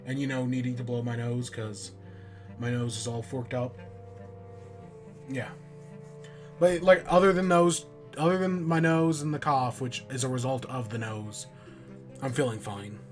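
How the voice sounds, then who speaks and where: A man streaming a game and chatting casually, with a stuffy nose and a raspy throat from a cold, talking in a rambling, offhand, self-mocking way. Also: medium pitch, steady tone, unhurried, pauses often, soft background music. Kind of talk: casual chat while playing a game.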